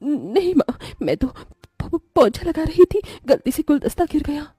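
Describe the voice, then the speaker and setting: Woman playing a meek, high-pitched mistreated girl. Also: sing-song, mellow, no echo, studio quality. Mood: frightened, meek. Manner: meek, halting, tearful. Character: timid, mistreated young stepdaughter, meek and sorrowful. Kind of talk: animated moral story character acting.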